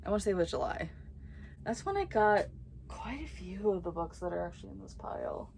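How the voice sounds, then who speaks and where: A young woman chatting casually through a book haul as a vlogger, excitable and prone to whispered asides. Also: mid-range voice, fairly quick, gravelly, slight background noise.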